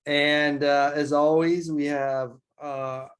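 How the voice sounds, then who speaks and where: A man's voice: fairly high pitch, little pitch movement, slow, clean tone. A man co-hosting a gaming podcast, in laid-back, joking chat that often stumbles over its words. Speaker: podcast co-host.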